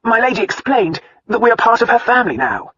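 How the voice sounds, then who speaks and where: A man's voice: extremely high pitch, very animated, fast, pushed and bright, breathy edge, no room sound, dull audio, voice pushed up, exaggerated voice. A narrator voicing a very young, anxious male butler who speaks in an earnest, fretful way. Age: young adult.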